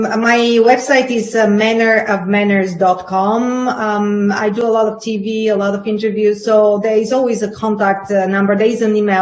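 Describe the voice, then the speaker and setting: A woman from Bulgaria speaking haltingly as an expert guest on a talk show, from an echoey room. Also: mid-range voice. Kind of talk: conversational interview answers. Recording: roomy, echoing sound.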